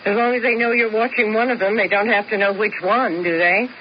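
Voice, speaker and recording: A woman's voice: mid-range voice, steady tone, fairly quick, close to an everyday voice. An actress playing a coolly scheming, refined woman in a 1970s radio mystery, with arch, precise, theatrical phrasing. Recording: muffled, hissy old radio recording.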